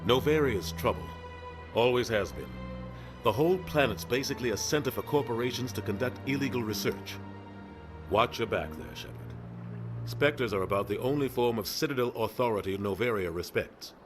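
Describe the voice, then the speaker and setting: An actor playing a world-weary military officer in a video game, matter-of-fact and clipped. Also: male voice, mid-range voice, lively intonation, moderate pace, over music, lightly acted. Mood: cautioning. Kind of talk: video game character acting.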